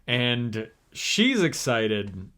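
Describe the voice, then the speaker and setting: A man's voice: medium pitch, big pitch swings, very slow, bright, forceful tone. A young man co-hosting a casual chat, with a middling voice for a man. He rambles loosely, piling in "like" and restarting his sentences as he goes. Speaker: podcast co-host.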